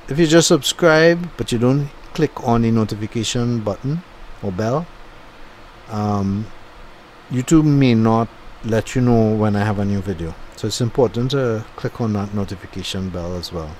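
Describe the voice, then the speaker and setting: A man's voice: lowish voice, normal speed, darkish tone, a little hiss or hum. A middle-aged man giving a casual, rambling voice-over commentary on his own driving video, thinking aloud with fillers. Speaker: YouTube channel host. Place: home, recorded after the drive.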